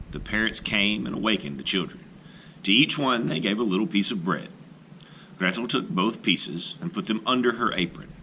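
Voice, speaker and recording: A male teacher reads a fairy tale aloud for children. His voice is low but bright, with lively, animated intonation, and a faint hiss of noise sits behind it. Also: low-quality recording. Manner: expressive, animated storytelling. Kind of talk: storybook read-aloud.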